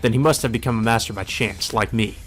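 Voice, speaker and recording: A man's voice: lowish voice, fast, fairly bright tone, faint music behind. Man narrating a visual novel. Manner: first-person storytelling delivery. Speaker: let's play narrator.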